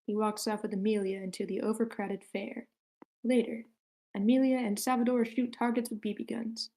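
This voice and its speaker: A woman's voice: a little high, little pitch movement, moderate pace, dark tone. A woman reading stage directions as the narrator of a screenplay table read.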